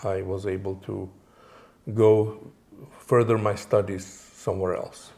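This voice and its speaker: Gravelly man from Moldova, halting. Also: on the low side, little pitch movement, dark tone. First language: Romanian. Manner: halting, many fillers and restarts. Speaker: interview guest. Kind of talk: casual interview answers.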